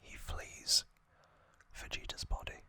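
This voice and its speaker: An ASMR host whispering a recap in a breathy, unvoiced whisper, in short halting phrases with pauses. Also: bright, forceful tone. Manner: slow, halting, pausing between short phrases.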